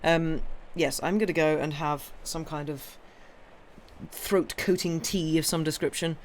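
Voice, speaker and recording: Croaky young woman chatting. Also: deep voice, steady tone, slight background noise. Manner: rambling, halting, full of ums and restarts; friendly and apologetic. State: croaky, hoarse voice. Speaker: livestream story reader. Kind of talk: casual chat with viewers.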